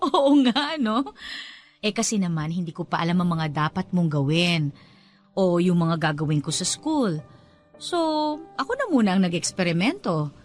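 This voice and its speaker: An actress as a fond, encouraging woman school head in a radio drama. Her voice swings in an animated, effusive way with a teacherly touch, over clearly audible music. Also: lowish voice, moderate pace, lightly acted. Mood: fond, nostalgic. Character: warm, nostalgic woman school owner, encouraging older colleague. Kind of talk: radio drama dialogue in Taglish.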